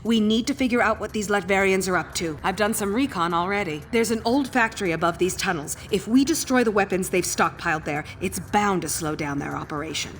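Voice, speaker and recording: A woman playing a capable, confident superhero on a mission in a video game, brisk and businesslike. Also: mid-range voice, close to an everyday voice. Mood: focused. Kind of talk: video game cutscene acting.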